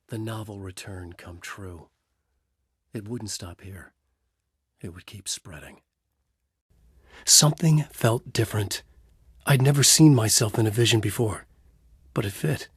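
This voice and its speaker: An actor playing a tormented thriller writer trapped in a horror story in a video game, with a grave, introspective, intense delivery and a husky voice. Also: male voice, fairly low pitch, no room sound, studio quality. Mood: bleak, guilt-ridden, then grim, resolute. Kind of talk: brooding dramatic game dialogue and noir monologue.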